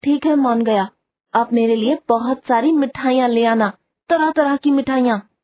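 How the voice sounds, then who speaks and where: An eager little village boy from a cartoon moral tale, played by a woman, speaks in a very high voice. He sounds childish and pleading, like a son working on his parents. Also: normal speed, darkish tone. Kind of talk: Hindi cartoon moral story dub.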